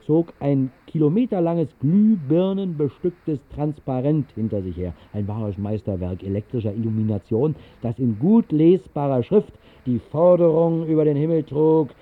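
Casting: wry, whimsical narrator of a 1960s radio play, mid-range voice, very lively intonation, musing conversational delivery, slightly muffled vintage sound. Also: male voice, medium pitch. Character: whimsical man recounting a fantastical night flight over the city.

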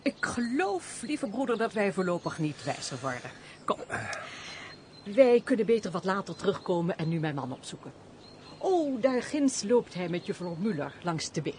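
Actress as a lively, worldly countess. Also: female voice, medium pitch, moderate pace, slight background noise, natural voice. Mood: curious, breezy. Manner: formal, dryly teasing. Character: teasing, sensible countess, elder-sisterly and worldly. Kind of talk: Dutch radio play, period stage dialogue.

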